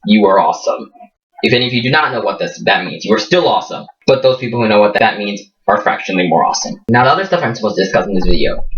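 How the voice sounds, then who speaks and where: A man's voice: medium pitch, a bit bright, recorded from a distance, dull audio, slight background noise. A fifteen-year-old boy with a Midwestern American accent and a slight Indian lilt, chatting casually and poking fun at himself in a vlog recorded in a small room. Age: teens. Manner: chatty, self-mocking, jokey asides. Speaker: vlogger.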